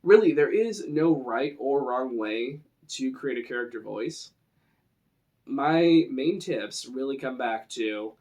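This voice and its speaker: A man hosts an informal role-playing tutorial. His voice sits at a medium pitch. He explains things casually, as if chatting to viewers through the camera. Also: darkish tone. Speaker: role-playing game presenter.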